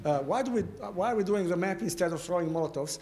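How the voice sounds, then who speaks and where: A man's voice: high voice, expressive, fast talker, mellow, a little hiss or hum. Provocative man questioning from audience. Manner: provocative, playful, acts out hypotheticals. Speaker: audience member asking a question. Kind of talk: conference Q&A. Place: gallery lecture room.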